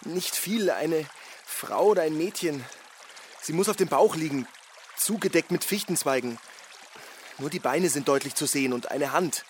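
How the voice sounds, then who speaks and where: An actor playing a sober, observant man in a radio play, giving a detailed account in clipped, measured phrases. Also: fairly high pitch, a little hiss or hum, close to an everyday voice. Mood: urgent. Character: man recounting finding a drowned woman, sober and observant. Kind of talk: radio play testimony narration.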